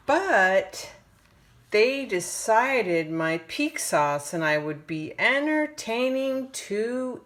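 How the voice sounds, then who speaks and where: A woman reading a children's picture book aloud in a dramatic, drawn-out way with suspenseful pauses. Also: medium pitch, a bit bright. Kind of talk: animated children's storybook read-aloud.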